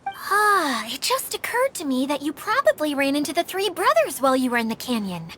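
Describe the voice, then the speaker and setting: A woman voicing an easygoing, kindly spirit in a video game, with a breezy and expressive delivery. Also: high-pitched, brisk, a little hiss or hum, full character voice. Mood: warm, explanatory.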